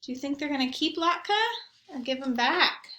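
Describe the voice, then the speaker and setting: A woman doing an expressive read-aloud for young children, with questioning asides to her listeners. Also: sits high, normal speed, echoey room, dull audio.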